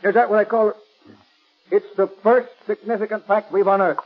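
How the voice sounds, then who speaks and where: An actor playing a blustering, self-important police commissioner in 1930s radio style, officious and easily flustered. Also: male voice, high-pitched, steady tone, brisk. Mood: smug. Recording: muffled 1930s radio recording.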